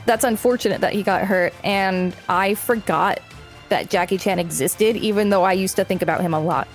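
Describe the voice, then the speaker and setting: A woman's voice: medium pitch, moderate pace, faint music behind, rough recording. A woman co-hosting a podcast, reading an article aloud and chatting casually. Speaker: podcast co-host.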